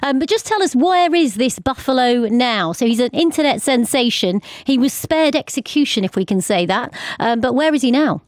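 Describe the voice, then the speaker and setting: A woman's voice: on the high side, lively intonation, normal speed, few pauses, no echo, studio quality. A woman presenting live radio news and interviews in a conversational, lightly amused manner.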